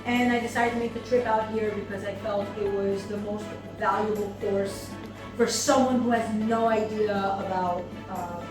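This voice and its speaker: A woman who owns a business, giving a thoughtful testimonial with many ums and pauses. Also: mid-range voice, fairly even intonation. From United States. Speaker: seminar attendee, business owner. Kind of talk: testimonial interview. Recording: echoey room, mic far off, music behind.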